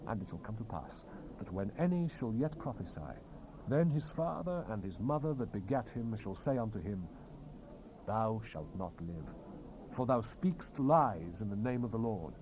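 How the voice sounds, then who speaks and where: A man's voice: on the low side, sing-song, moderate pace. Man declaiming scripture with dramatic swells and drops. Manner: solemn, declamatory, prophetic oratory. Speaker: scripture narrator. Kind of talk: dramatized King James Bible reading. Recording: hissy 1970s cassette rip, ambient sound behind.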